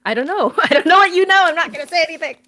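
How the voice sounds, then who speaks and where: A woman's voice: extremely high pitch, expressive, racing, crowd noise. A woman chatting casually on a livestream, bubbly and rambling, laughing often. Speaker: podcast guest, horror writer. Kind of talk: casual stream chat.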